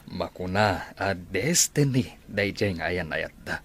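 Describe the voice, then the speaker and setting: An actor with a Filipino accent playing a supportive, teasing older best friend in a radio drama, chummy and conversational. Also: male voice, low voice, animated, takes it slowly, fairly bright tone, breathy edge, exaggerated voice.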